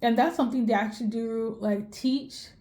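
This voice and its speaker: A woman's voice: a little high, normal speed, mellow. A young woman from Sweden giving a casual spiritual reading, rambling with many fillers and self-corrections. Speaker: tarot reader.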